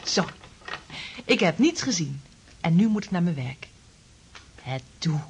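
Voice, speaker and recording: An actress in a radio play playing an affectionate, coaxing and practical lover with a husky, breathy voice. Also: female voice, lowish voice, very animated, unhurried, slight background noise. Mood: soothing, coaxing.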